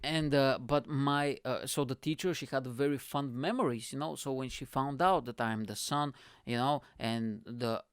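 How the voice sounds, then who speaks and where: A man, hosting a podcast and telling casual stories in an animated way, laughing often and acting out the people he describes. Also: medium pitch, moderate pace, smooth, dry recording, clean studio sound. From Bulgaria. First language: Bulgarian. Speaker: podcast host. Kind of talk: casual storytelling monologue.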